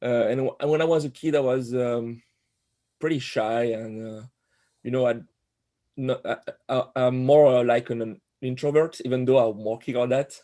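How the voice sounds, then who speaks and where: A man in his forties from Corsica with a French accent, a guest telling his story in a podcast interview, pausing often with fillers and restarts. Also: mid-range voice, steady tone, dark tone. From Corsica, France. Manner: hesitant, many fillers and restarts. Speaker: guest, animator.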